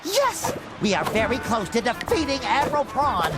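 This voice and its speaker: A man voicing a cheerful, earnest, childlike cartoon sea sponge with a nasal, squeaky voice and a naive, perky manner. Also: very animated, normal speed, loud music behind the voice. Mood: determined, upbeat. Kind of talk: cartoon game voice acting.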